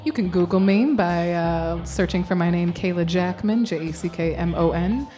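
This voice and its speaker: A woman's voice: fairly low pitch, normal speed, dark tone, soft background music. A young woman, an actor guest, talking casually in a radio interview and laughing often. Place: storefront music bar.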